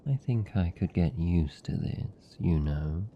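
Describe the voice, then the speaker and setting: A man voicing a possessive, doting vampire captor in an intimate ASMR roleplay, with a British accent and a slow, murmured, coaxing delivery. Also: lively intonation. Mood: doting, soothing. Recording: muffled, rumbly, faint background noise.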